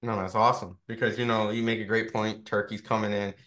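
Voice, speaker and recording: A man's voice: fairly low pitch, level tone, fast talker, fairly bright tone. A man interviewing a boxer in a casual way, full of fillers and frequent "right?" tags. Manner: chatty, filler-heavy, keeps asking "right?".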